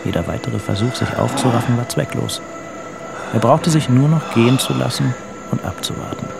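A man narrating a crime story for a radio drama in a grave, detached, measured way. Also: medium pitch, vocal fry, music in the background.

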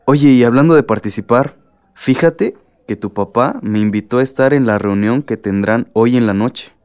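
Friendly young Mexican man played by an actor, mid-pitched. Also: normal speed, darkish tone, smooth, natural voice. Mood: friendly, teasing. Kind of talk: radio drama acting.

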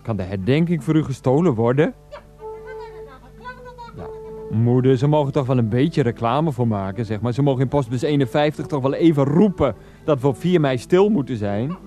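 An exasperated grown son , played by a male actor, in a naturalistic radio comedy drama. He talks into a phone in a mid-pitched voice, defensive and placating, often halting and repeating himself. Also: animated, warm tone, faint music behind, close to an everyday voice.

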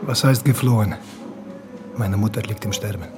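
An actor in a radio play as a defiant, evasive murder suspect, indignant and questioning. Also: male voice, lowish voice, moderate pace, music in the background, lightly acted. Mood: defiant, wary. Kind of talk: German radio play acting.

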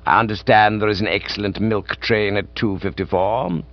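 A man voicing a deferential, unflappable English manservant in a comic audiobook, with formal, measured, understated speech. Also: fairly low pitch, steady tone, muffled recording, close to an everyday voice. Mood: grave, tactful.

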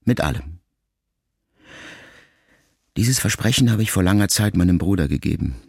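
An actor playing a wounded, reflective ageing son in an intimate radio drama monologue, speaking in a measured, gravelly voice. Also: male voice, low voice, expressive, a little mellow, no room sound, clean studio sound, exaggerated voice. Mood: sober, resolute. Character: grieving ageing son addressing his dying mother, wounded, reflective.

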